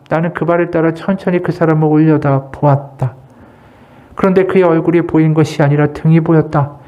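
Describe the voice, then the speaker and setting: High-voiced man preaching earnestly. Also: warm tone. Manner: earnest, exhorting, measured phrasing in short pieces. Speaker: associate pastor preaching. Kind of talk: Sunday sermon with read-aloud testimony and prayer.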